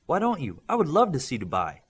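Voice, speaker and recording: A cheerful teenage girl with a teasing edge, as a male audiobook reader puts her on. Her lines sit a bit higher and brighter in pitch movement than the narration. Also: wildly swooping pitch, fast talker, slightly rough, exaggerated voice.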